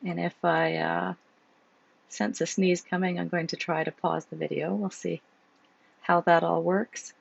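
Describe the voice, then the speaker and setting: Hoarse woman with a cold reading aloud. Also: on the low side, little pitch movement, measured pace, highs cut off. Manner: chatty, with fillers before reading. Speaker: author reading her own novel. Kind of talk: casual chat, then book reading.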